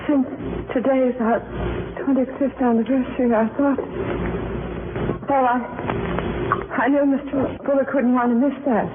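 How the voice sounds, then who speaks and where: An actress playing a shaken, timid middle-aged wife in a 1940s radio drama, speaking hesitantly and properly. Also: female voice, high voice, little pitch movement, normal speed, pauses often, close to an everyday voice. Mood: dazed, anxious. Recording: tinny, very noisy 1940s radio broadcast.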